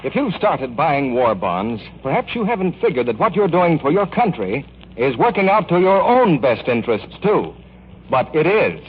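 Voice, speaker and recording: A man's voice: fairly high pitch, sing-song, normal speed. A man working as a radio announcer, reading a scripted 1940s public appeal. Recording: muffled, hissy old radio broadcast.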